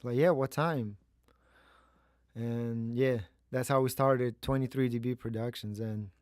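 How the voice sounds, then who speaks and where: Male podcast co-host, thirties, flat intonation, dry close recording, casual storytelling. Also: medium pitch, clear, resonant tone, no room sound. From Kazakhstan. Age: 30s.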